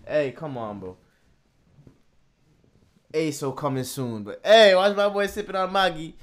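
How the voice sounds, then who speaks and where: A man in his twenties giving casual, slangy and animated commentary as he reacts to music. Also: on the high side, measured pace, a little hiss or hum. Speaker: reaction video host. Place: small indoor room at home. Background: music from the video he is watching.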